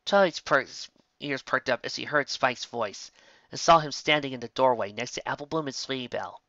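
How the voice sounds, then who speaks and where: A man's voice: medium pitch, a little fast, pushed and bright, no room sound, muffled recording. A man reading a fan fiction story as narrator, occasionally stumbling over words.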